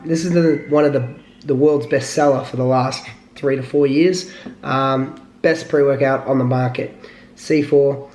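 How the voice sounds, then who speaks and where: A man with a slightly hoarse voice, talking casually to the camera as a fitness vlogger, rambling with lots of fillers. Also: medium pitch, roomy sound, a little hiss or hum. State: hoarse from illness.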